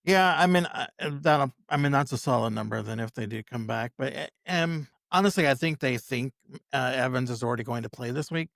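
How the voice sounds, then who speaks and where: A man with a gravelly, creaky voice talks casually as a podcast guest. His pitch is ordinary for a man, he tends to repeat himself, and the recording is dry. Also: a little fast, fairly bright tone, no echo. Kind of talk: casual sports chat.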